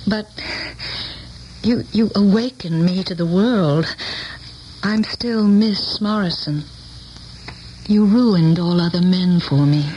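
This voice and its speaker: A woman's voice: on the low side, slow, long pauses, dark tone, lo-fi sound. An actress playing an earnest, idealistic former pupil in a radio drama, formal and intense in her speech. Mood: earnest, wistful. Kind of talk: 1970s radio drama acting. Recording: faintly noisy old radio broadcast.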